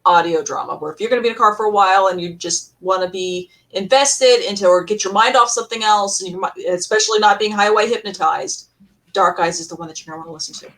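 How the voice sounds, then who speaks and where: A woman's voice: medium pitch, lively intonation, fast talker, a bit bright, rough recording. A woman with a mid-range voice speaks as a panelist on a podcast. Her delivery is casual and conversational, with emphatic recommendations.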